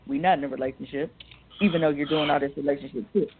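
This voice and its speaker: A woman's voice: sits low, steady tone, quick pace, background noise. A woman co-hosting a casual discussion, speaking in an assertive, outspoken and slangy way. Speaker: radio show co-host. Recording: tinny, band-limited phone-line sound.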